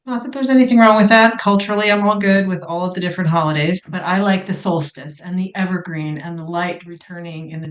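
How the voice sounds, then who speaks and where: Guest, woman, chatty, inquisitive; lowish pitch, recorded in an echoey room. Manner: curious, chatty, asks long rambling questions. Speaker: podcast guest. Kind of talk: conversational interview.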